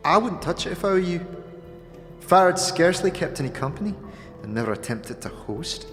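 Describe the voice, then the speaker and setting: A man's voice: on the high side, big pitch swings, brisk, fairly bright tone, pitched above the performer's own voice. Guy doing a dry knowing fantasy companion, big long reverb, music behind. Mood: dry warning.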